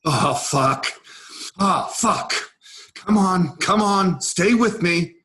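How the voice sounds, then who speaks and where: A man's voice: high voice, lively intonation, drawn-out words, a bit bright, exaggerated voice. A man in a staged play reading, playing a macho G.I. Joe soldier doll, cocky and protective, with tough-guy endearments. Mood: panicked, urgent.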